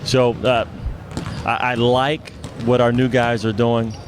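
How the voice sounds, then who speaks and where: A man's voice: medium pitch, little pitch movement, takes it slowly, background noise. A man, a basketball head coach, giving casual answers in a sports interview.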